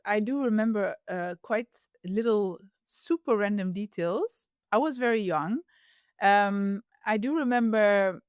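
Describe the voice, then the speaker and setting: A woman's voice: medium pitch, no room sound, studio quality. A woman from Ethiopia who grew up in the Netherlands, speaking as a podcast guest in a reflective, casual way with frequent fillers and restarts. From Ethiopia, raised in the Netherlands. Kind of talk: casual interview conversation.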